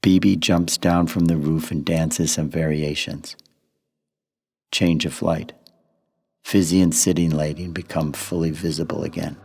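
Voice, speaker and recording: A man's voice: extremely low pitch, little pitch movement, unhurried, vocal fry, darkish tone, breathy edge, heavily acted. A man narrating the stage directions of a radio play in terse, declarative statements. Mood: matter-of-fact.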